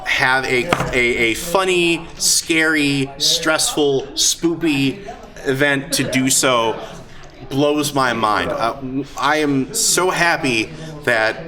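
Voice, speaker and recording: A man hosting a live event as game master, talking off the cuff in a halting way and often repeating words. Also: mid-range voice. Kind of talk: unscripted talk to a live audience. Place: busy gaming convention. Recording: live convention hall, heavy crowd noise.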